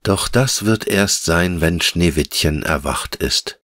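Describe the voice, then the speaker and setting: A man voicing a wise, gentle female elf in a fairy-tale audiobook, speaking in a measured, patient and tender way. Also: deep voice, dry recording, studio quality, heavily acted. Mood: gentle, explaining. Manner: measured, patient, lyrical explaining.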